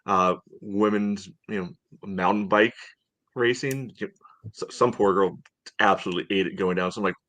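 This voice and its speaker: A man's voice: on the low side, moderate pace, fairly bright tone, dry recording, rough recording. An American man co-hosting a sports podcast, chatting casually in a rambling, conversational way.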